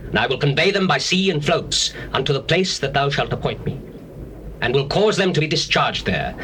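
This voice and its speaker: A man's voice: medium pitch, sharp tone. An actor playing a gracious, pious king in a scripture drama, declaiming formally. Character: King Hiram of Tyre, gracious, pious foreign king. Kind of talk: dramatized scripture reading, archaic English. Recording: noisy old tape recording.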